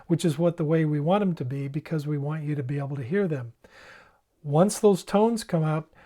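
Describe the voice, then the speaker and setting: Mellow higher-pitched man explaining things step by step. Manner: plain-spoken, step-by-step. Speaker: presenter demonstrating emergency radios. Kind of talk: informal instructional explanation.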